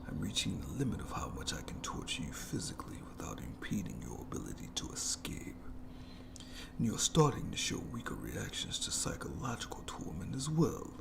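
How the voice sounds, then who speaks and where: A man's voice: lowish voice, wildly swooping pitch, brisk, vocal fry, heavily acted. A man voicing a sadistic, playful monster in a horror ASMR roleplay, whispering with taunting mock courtesy. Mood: sadistic, musing. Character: sadistic, playful, menacing monster lurking in its lair.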